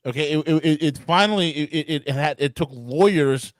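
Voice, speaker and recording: A man's voice: on the high side, normal speed, no echo. A man with a New York accent arguing emphatically on a podcast, often restarting and stammering in exasperation. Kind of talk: heated podcast debate.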